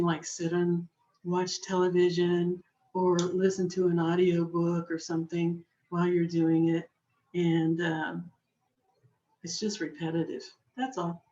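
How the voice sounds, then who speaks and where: Woman, craft instructor, unhurried, slightly hoarse, dull lo-fi camera audio. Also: fairly low pitch, warm tone. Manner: patient, chatty, talks while working with her hands. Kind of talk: slow step-by-step craft demonstration.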